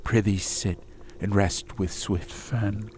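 A solemn, ancient monk, put on by a male storyteller, speaking slowly in a very deep, hushed, half-whispered chant. Noise hums steadily behind the voice. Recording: steady ambient noise bed.

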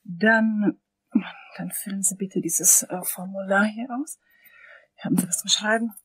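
An actress playing a friendly, naive middle-aged dental assistant with a polite service tone in a crime radio play. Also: female voice, mid-range voice, steady tone, measured pace, fairly bright tone, husky, no room sound, low-quality recording.

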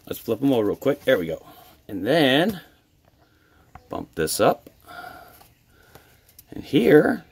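An American man, a hobby vlogger with a husky voice, chatting casually and appreciatively to viewers as he shows things on camera. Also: mid-range voice, a little mellow, slight background noise. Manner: enthusiastic, appreciative. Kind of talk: casual chat to camera while opening mail.

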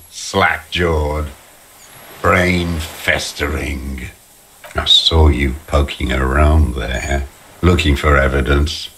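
Very deep-voiced, bitter old deserter. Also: male voice, sharp tone, slight background noise, full character voice. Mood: sneering. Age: older. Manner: scornful, ranting, spitting insults. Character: bitter, sickly old communist deserter, contemptuous zealot. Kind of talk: dramatic video game voice acting.

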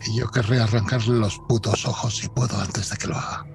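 A man's voice: lowish voice, fairly even intonation, fairly quick, gravelly, music in the background. A man playing a tabletop game, talking casually and bluntly, with some swearing. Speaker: player.

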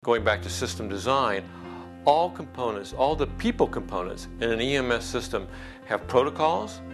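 A man's voice: medium pitch, sing-song, normal speed, music in the background. A man in a documentary interview, explaining earnestly how emergency medical systems assure quality.

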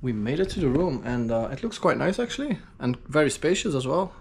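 Male travel vlogger, thirties, slightly higher voice, casual thinking-aloud delivery, noisy handheld camera audio. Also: very animated, brisk, darkish tone. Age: 30s. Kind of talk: casual spoken-as-it-happens travel vlog narration. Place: city street, then hotel lobby, lift, corridor and room. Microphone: action camera held at arm's length.

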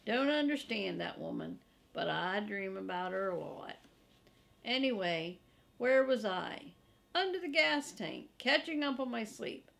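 Slow-paced older woman reading a story. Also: medium pitch, animated, vocal fry, fairly bright tone. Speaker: storybook reader for children. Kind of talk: scripted first-person story narration read aloud. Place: small furnished room indoors, seated in an armchair.

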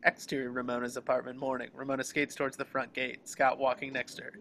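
A man's voice: medium pitch, completely flat delivery, quick pace, bright, forceful tone, a little hiss or hum. A man narrating the action descriptions of a screenplay in an amateur table reading. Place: online group video call.

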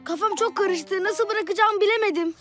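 A woman's voice: extremely high pitch, normal speed. A woman playing a perky, stubborn, childlike friend in a children's cartoon, with a showy, insistent delivery. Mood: defensive. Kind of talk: animated children's cartoon dialogue. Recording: music behind the voice.

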